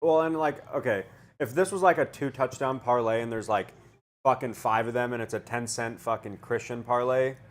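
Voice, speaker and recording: A man's voice: mid-range voice, moderate pace. A man commentating on a game in casual, rambling sports chat with plenty of swearing.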